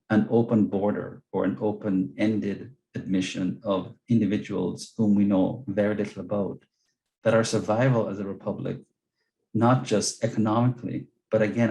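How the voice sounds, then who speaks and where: A man's voice: on the low side, creaky, dark tone, low-quality recording. A man from Jamaica with a Jamaican accent, an earnest guest speaker on a video call who repeats words as he searches for them. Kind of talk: webinar talk and Q&A answers.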